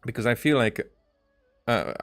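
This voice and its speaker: A man's voice: mid-range voice, a little slow, fairly bright tone. A man giving casual commentary as he plays a video game, rambling and often searching for his words. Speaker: streamer playing a video game.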